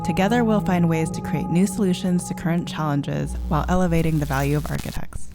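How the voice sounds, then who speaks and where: A woman co-hosting a podcast, framing topics in an earnest, steady way. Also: deep voice, fast, over loud music. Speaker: podcast co-host. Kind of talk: hosted podcast conversation.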